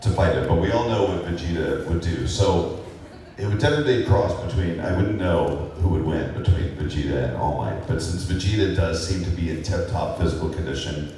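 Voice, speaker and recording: A man with a very low voice talks through fan questions on a convention panel, loose and rambling, full of "uh"s and small laughs. He sounds far from the mic in a big hall with heavy echo and a faint hiss underneath. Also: brisk, dark tone. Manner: rambling, laid-back, many fillers and chuckles. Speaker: voice actor guest on a convention panel. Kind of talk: casual panel Q&A answers. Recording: echoey hall recorded from far off.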